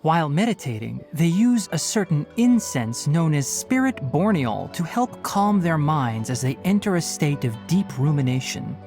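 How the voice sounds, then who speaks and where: Bookish young anime game ranger, precise n gently stern, lively intonation, music behind. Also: male voice, on the high side. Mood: instructive. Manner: precise, explanatory, measured. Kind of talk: anime video game voice acting.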